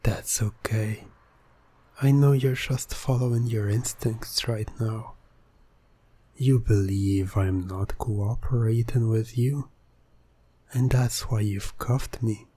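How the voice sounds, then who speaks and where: Guy acting out a smooth talking hypnotist for asmr, mellow breathy voice, slow and coaxing. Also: lowish voice, fairly even intonation. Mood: hushed, coaxing. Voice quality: soft, breathy. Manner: soothing, persuasive, deliberate, often dropping to a whisper. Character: smooth-talking, coaxing male hypnotist playing innocent motorist. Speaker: ASMR roleplay performer.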